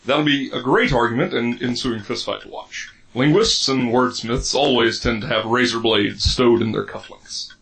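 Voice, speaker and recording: A man's voice: mid-range voice, normal speed, gravelly, few pauses, fairly bright tone, poor audio. A man playing an eccentric, vain and greedy mayor in a comedic audio drama, pompous, wordy and theatrical. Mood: grandly cheerful.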